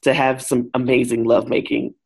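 A woman in her forties with a deep voice, talking frankly as an interview guest who works with couples. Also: moderate pace, creaky, slightly rough. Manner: frank and expressive, switches into lively quoted voices. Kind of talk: candid conversational interview.

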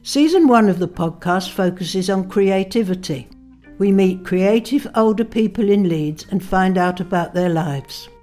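An older woman hosting a podcast and interviewing a guest in a measured way. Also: mid-range voice, mellow, faint music behind. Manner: measured, reads an introduction. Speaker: podcast host.

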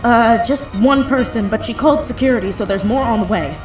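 A woman's voice: a little high, fairly quick, natural voice. A woman playing a wry, casual young hacker on a heist in an audio drama. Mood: flustered, panicky. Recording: roomy echo, music and sound effects underneath.

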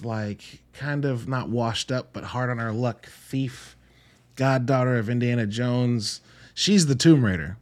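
A male podcast host talks through films in an informal, conversational way. His voice sits at an average pitch for a man. He rambles into animated asides and drops casual swear words, as in an offhand solo review.

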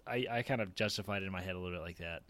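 A man talking casually as a podcast panelist, excitable and emphatic in his delivery. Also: low-pitched, rapid-fire, dry recording. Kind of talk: casual roundtable discussion.

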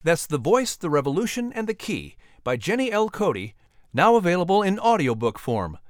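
A man hosting and narrating a story podcast in an expressive, theatrical style. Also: high voice, moderate pace, dry recording, studio quality. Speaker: podcast host and audiobook narrator. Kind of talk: dramatic narration and lighthearted scripted hosting.

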